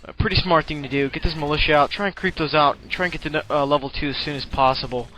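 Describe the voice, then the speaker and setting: A man's voice: fairly high pitch, fairly even intonation, quick pace, sharp tone, a little hiss or hum, rough recording. A man giving casual, rambling commentary on a game replay, with profane, jokey slang.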